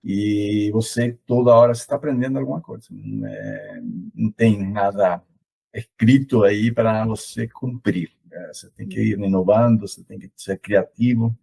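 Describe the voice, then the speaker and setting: A man's voice: fairly low pitch, lively intonation, moderate pace, gravelly, warm tone, husky, poor audio. A middle-aged man from Argentina with an Argentine accent, speaking Portuguese as a second language as an interview guest, hesitant with many fillers. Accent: Argentine Spanish. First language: Spanish. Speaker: interview guest, logistics consultant. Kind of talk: casual conversational interview.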